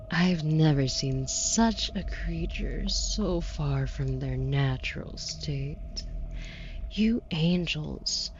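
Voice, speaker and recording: A woman's voice: low-pitched, darkish tone, lo-fi sound, slight background noise. A woman playing a haughty, predatory demon buyer in an intimate audio roleplay, taunting with condescending, mock-sweet cruelty.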